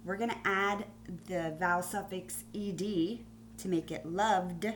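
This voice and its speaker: A woman schoolteacher giving a phonics lesson to young pupils on video, explaining deliberately and spelling things out. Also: lowish voice, fairly even intonation, a little hiss or hum. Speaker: primary school teacher.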